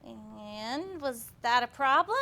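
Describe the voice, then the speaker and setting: Woman acting high, animated, wry housewife. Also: slow pace, gravelly, exaggerated voice. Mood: chirpy. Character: wry, deadpan-joking housewife, exasperated daughter. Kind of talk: staged reading of a comic play.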